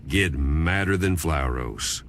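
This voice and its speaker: An actor playing a wry fallen angel turned folksy storyteller in a video game, narrating in a colloquial drawl. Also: male voice, very deep voice, takes it slowly, a little hiss or hum, full character voice. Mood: wry storytelling. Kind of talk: scripted game cutscene narration. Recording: loud music behind.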